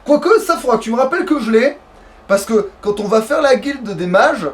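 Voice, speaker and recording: A man's voice: very high voice, lively intonation, fairly quick. A man chatting casually and playfully over gameplay, slangy and prone to swearing, as a let's play streamer.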